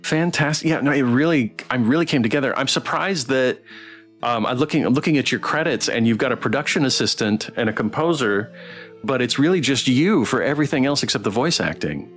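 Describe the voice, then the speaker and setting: A man hosts a casual game-stream interview. His pitch is mid-range and moves around a lot, his delivery halting and appreciative. Faint music plays underneath. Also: animated, a bit bright. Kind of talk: casual live interview chat.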